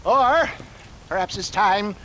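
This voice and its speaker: An actor playing an eccentric, rambling old swindler of the Old West in a video game, with a theatrical, hesitant and florid way of talking. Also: male voice, slow, a little hiss or hum. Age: older. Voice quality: animated, high-pitched, chuckling. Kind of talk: video game cutscene acting.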